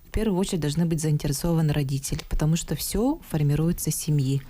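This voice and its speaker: A woman who studies language at a university, a native Yakut speaker, answering calmly in a studio interview. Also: deep voice, fast, slight background noise. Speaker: university language scholar guest.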